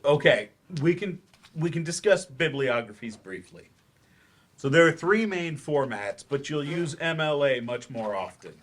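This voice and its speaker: An actor in a live play reading, playing a weary writer turned remedial teacher with a dry, hesitant manner. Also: male voice, on the high side, lively intonation, a little slow. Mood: reserved.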